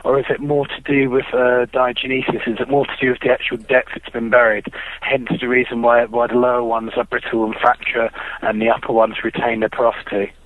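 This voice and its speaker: Male analyst on a conference call, thin telephone audio, level delivery, courteous and deliberate. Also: medium pitch, little pitch movement. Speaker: financial analyst calling in. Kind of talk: technical question on a conference call. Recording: tinny narrowband phone line.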